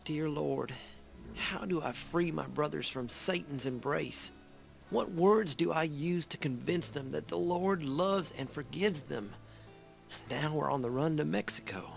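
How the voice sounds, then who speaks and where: An actor playing a devout, sorrowful younger brother in a Western game, speaking solemnly and earnestly. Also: male voice, a little high, a little slow, gravelly, faint music behind. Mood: pleading. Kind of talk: dramatic game narration and acted dialogue.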